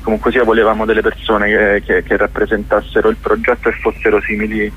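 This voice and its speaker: A man's voice: on the low side, completely flat delivery, fast, husky, narrow, tinny audio. A man with a Roman accent chatting casually as a guest director in a radio interview, sarcastic, slangy and sweary. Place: radio studio.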